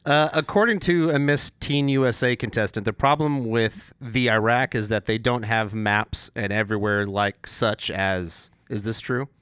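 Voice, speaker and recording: A man co-hosting a podcast, talking in a halting, rambling way with many restarts. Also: on the low side, fairly bright tone, clear, resonant tone, no room sound. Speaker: podcast co-host. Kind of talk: casual podcast chat.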